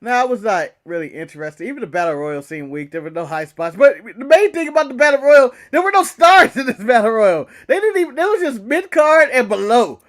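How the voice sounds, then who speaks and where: American guy, really high voice, wrestling podcast cohost, animated joking gripes, super casual. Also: brisk, hardly any pauses. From United States. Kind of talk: casual sports chat.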